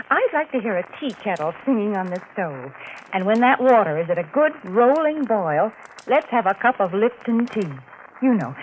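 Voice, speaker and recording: A woman giving chirpy, homey sponsor patter on a 1940s radio show. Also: on the high side. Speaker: commercial spokeswoman. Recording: tinny old radio, faint noise.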